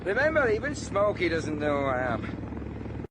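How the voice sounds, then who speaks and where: An actor playing a defiant, confident criminal mastermind on the run in a radio crime drama, speaking in a clipped way. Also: male voice, high-pitched, sing-song, measured pace. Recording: loud engine and helicopter noise.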